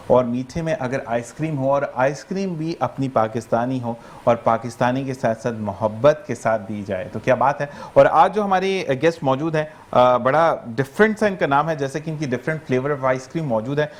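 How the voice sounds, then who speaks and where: A man's voice: mid-range voice, normal speed, few pauses, slight background noise. A man with a Pakistani Urdu accent hosting a morning TV show, talking in a courteous, chatty way with formal greetings.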